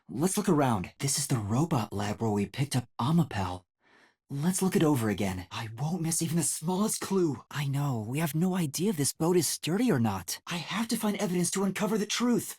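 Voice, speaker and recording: A man's voice: on the high side, very animated, moderate pace, a little mellow, husky. A man voicing a timid, earnest young male detective trainee in a video game, hesitant and thinking aloud. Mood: thoughtful.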